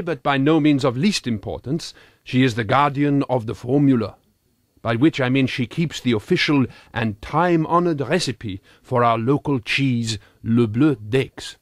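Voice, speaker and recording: A man's voice: mid-range voice, normal speed, no echo, studio quality, close to an everyday voice. A man voicing an earnest, pompous thirty-eight-year-old Frenchman with a French accent, reading a comic audiobook diary in formal, quaintly wordy phrases. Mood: earnest, self-important.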